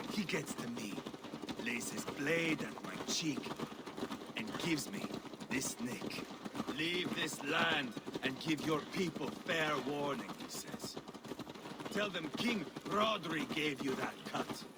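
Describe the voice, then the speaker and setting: A gleefully cruel Viking raider for a video game. The voice sits fairly high and swaggers theatrically between menace and bluster. Loud outdoor ambience runs behind it. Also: male voice, heavy background noise. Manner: theatrical, swaggering, swinging from low menace to yelling. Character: volatile, bloodthirsty Viking warrior, gleefully cruel, boastful. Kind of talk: dramatic video game acting.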